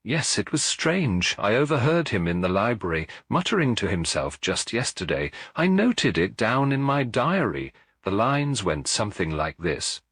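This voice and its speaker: Man voicing earnest, uneasy woman, raised pitch. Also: sing-song, moderate pace, hardly any pauses, a bit bright, slightly rough, no echo, clean studio sound, exaggerated voice. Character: troubled, earnest woman recalling clues.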